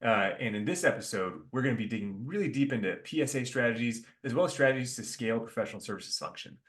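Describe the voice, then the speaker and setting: A male podcast host with an average pitch opens the show with upbeat, partly scripted introductions. He then moves into relaxed questions for his guests. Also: fast talker. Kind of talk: podcast hosting.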